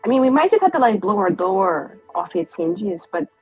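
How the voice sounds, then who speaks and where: Brief: mid-pitched woman, tabletop player, quick joking asides. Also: very animated, husky, no room sound, highs cut off, soft background music. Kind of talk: casual planning chat.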